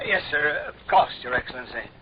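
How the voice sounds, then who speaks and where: A man's voice: medium pitch, expressive, fairly quick, a crowd in the background, close to an everyday voice. An actor playing a dutiful, nervous male spaceship officer, deferential to his superior, in a 1950s radio drama. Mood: deferential, uneasy. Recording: noisy, dull 1950s radio recording.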